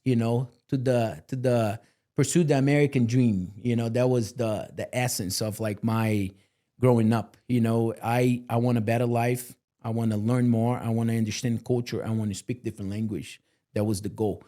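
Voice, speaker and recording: Male guest, mellow and earnest, motivational, filler-heavy. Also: medium pitch, steady tone, moderate pace. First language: Portuguese. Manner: earnest, motivational, lots of "you know" fillers. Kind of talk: candid podcast interview.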